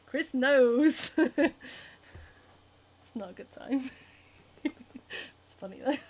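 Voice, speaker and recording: A woman's voice: high-pitched, lively intonation, drawn-out words, darkish tone, slightly rough. A young woman with a Northern English accent, hosting a casual livestream while she sews, reading out viewer comments and chatting with lots of giggles, ums and likes. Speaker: cosplayer and livestream host. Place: home, hand sewing while streaming.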